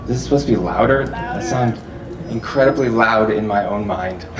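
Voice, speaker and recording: A man's voice: fairly low pitch, moderate pace, over music. Chatty man speaking to a live crowd. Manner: relaxed, chatty, laughs often, asks the audience questions. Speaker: festival speaker. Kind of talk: live public talk to an audience. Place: festival venue with a live audience. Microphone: handheld or stand microphone, often held far off. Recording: roomy echo, distant mic, audible hall noise.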